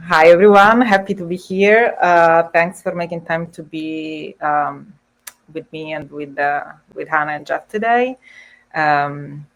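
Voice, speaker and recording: A woman with an Italian accent giving an informal online presentation, hesitantly, with frequent pauses. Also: low voice. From Italy. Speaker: guest speaker, service designer. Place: indoors, on a video call. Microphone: video call microphone.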